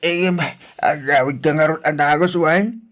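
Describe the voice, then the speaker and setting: A man's voice: a little high, moderate pace, a bit bright, lightly acted. A man with an Ilocano accent playing a gambling, hard-drinking husband who wheedles and pleads in a radio drama. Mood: meek, worried.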